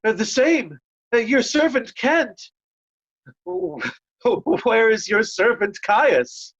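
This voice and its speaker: A woman's voice: a little high, lively intonation, takes it slowly, husky, highs cut off, heavily acted. A woman playing a loyal, sorrowful nobleman and servant in a Shakespearean play reading. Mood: sorrowful, earnest.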